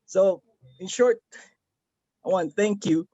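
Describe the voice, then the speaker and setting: High-voiced earnest man on a video call. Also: takes it slowly, mellow, no room sound, highs cut off. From Philippines. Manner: earnest, rambling with many fillers and restarts. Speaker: guest giving a testimonial. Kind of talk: casual video-call chat.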